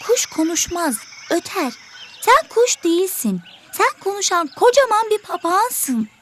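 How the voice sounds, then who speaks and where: A woman's voice: very high voice, very animated, measured pace, fairly bright tone, full character voice. A woman voicing a selfish, vain, argumentative little songbird in an educational children's cartoon. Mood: scornful. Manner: boastful, dismissive, argumentative.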